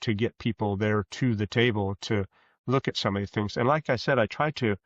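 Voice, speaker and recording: A man answering interview questions as a guest author, conversational, with rambling, halting explanations. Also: lowish voice, dry recording. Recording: dull, lo-fi sound.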